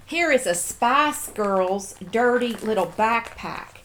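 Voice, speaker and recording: Female host, unhurried and playful, casual show-and-tell chat, faint noise and clicks on a home recording. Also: on the high side, expressive, a bit bright, rough recording. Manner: addresses toys and viewers playfully, cooing.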